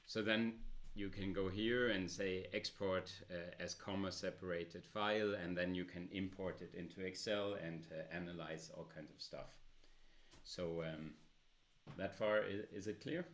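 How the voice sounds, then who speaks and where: A man with a loud voice presenting a smartphone app in a casual demo, with many filler pauses. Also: low-pitched, steady tone.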